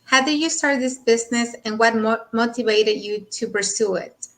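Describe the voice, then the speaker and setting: A woman's voice: a little high, normal speed, a bit bright. A woman from Mexico, a co-host introducing herself and asking a guest questions.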